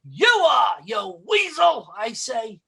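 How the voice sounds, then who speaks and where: High-pitched, hot-tempered sitcom buddy. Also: male voice, slow pace, vocal fry, exaggerated voice. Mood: indignant. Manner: loud, sarcastic, excitable. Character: hot-tempered, sly working-class husband and grumbling buddy. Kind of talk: old-time radio sitcom acting.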